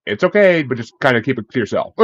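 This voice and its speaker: A man's voice: medium pitch, very animated, moderate pace, husky, dry recording, muffled recording. A man hosting a casual interview show, talking in an informal, self-deprecating, rambling way. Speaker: podcast host.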